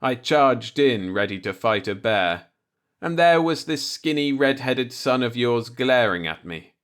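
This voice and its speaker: A man reading a short story aloud as an audiobook narrator, in a measured storytelling manner. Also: mid-range voice, animated, fairly bright tone, clear, resonant tone. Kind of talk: scripted narration, read-along short story.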